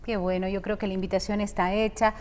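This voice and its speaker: A woman's voice: medium pitch, level tone, quick pace, long reverb tail, a little hiss or hum. A young woman hosting an interview on a TV studio set, often answering with short affirmations. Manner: warm affirmations, many "ujú". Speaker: TV host.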